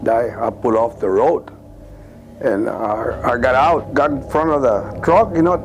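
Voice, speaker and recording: An older man from Texas recalls events informally as an eyewitness, halting and repeating himself as he tells it. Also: mid-range voice, a little hiss or hum. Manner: halting, repetitive, animated storytelling with "man" and "you know". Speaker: eyewitness interviewee. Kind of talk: informal spoken recollection.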